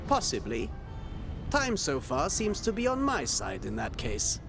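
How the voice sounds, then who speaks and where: An eccentric castaway fisherman in a video game. His high voice swoops and lingers slowly, wistful yet stubbornly hopeful, with music underneath. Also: wildly swooping pitch, exaggerated voice. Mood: wistful, whimsical. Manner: singsong, dreamy, rambling. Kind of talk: video game cutscene acting. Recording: music behind the voice.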